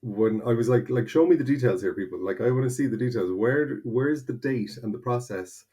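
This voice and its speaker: A man's voice: mid-range voice, fairly quick, husky. A man in his forties from North County Kildare with an Irish accent, a guest in a conversational interview who explains things eagerly, restarting often. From Ireland (North County Kildare). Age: 40s. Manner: animated, explanatory, with many restarts. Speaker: guest, translator.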